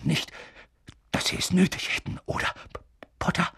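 A man's voice: normal speed, fairly bright tone, a little hiss or hum, lightly acted. A man voicing a nervous, fearful young professor who stammers and mutters hesitantly, in an audiobook. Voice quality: stammering, breathy. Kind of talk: audiobook character voice.

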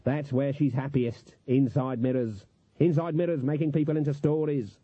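Man doing a stern, husky old woman witch. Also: medium pitch, steady tone, normal speed, dark tone, lightly acted. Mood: brooding. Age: older. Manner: grumbling, dismissive. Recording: echoey, narrow phone-like audio.

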